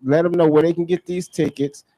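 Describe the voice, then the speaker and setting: Higher-voiced American man hosting radio, dry sound. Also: fairly even intonation, brisk, dark tone, dry recording, low-quality recording. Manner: affirming, repeats short phrases. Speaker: radio host. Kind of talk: casual radio chat.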